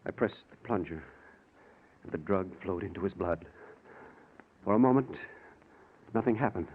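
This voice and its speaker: An actor playing an anxious, conscience-stricken scientist with an earnest, grave manner in 1940s radio drama style. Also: male voice, low voice, moderate pace, narrow, tinny audio, close to an everyday voice. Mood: grave narration. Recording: noisy, band-limited 1940s radio recording.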